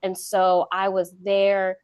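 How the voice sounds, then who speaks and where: A woman in her thirties from North Carolina, a guest telling her career story in a relaxed interview with many fillers. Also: mid-range voice, slow pace, smooth, no echo, studio quality. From Charlotte, North Carolina. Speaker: guest, government contracting consultant. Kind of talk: casual interview talk.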